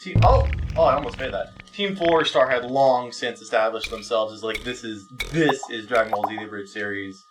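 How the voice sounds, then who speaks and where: A man's voice: medium pitch, a little fast, few pauses, far from the mic, rough recording. Rambling, sweary man over music. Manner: profane, self-deprecating, rambling; exclaims at the game mid-sentence. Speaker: video game let's player. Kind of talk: rambling casual gameplay commentary. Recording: music playing behind the voice.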